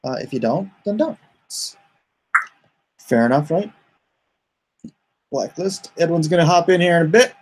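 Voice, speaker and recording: A man's voice: fairly high pitch, expressive, a little slow, long pauses, slight background noise. A man hosting a livestream, chatting casually and reading viewer comments aloud in a rambling, joking way. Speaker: comic artist hosting a livestream.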